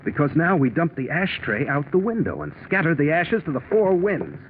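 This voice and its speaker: A scheming man in a 1940s radio mystery, smooth and insistent. His pitch moves in lively swings as he persuades. The old recording is muffled, with some noise. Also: moderate pace. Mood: reassuring. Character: scheming, reassuring male lover plotting an insurance fraud.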